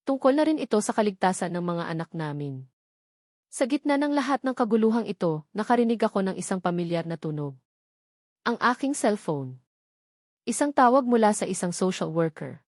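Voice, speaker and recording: A woman's voice: medium pitch, expressive, brisk, darkish tone, no echo, clean studio sound. A woman reading scripted first-person narration of a dramatic story.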